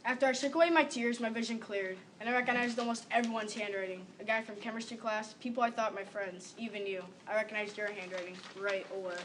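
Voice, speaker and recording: A woman's voice: fairly high pitch, steady tone, fast, few pauses, noisy background. A seventh-grade girl performing a scripted readers theater monologue. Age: about 12 to 13, seventh grader.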